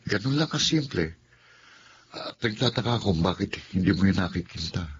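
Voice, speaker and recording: An actor playing an earnest young man in love, speaking gently in a radio drama. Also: low voice, expressive, normal speed. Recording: muffled radio-play recording with faint noise.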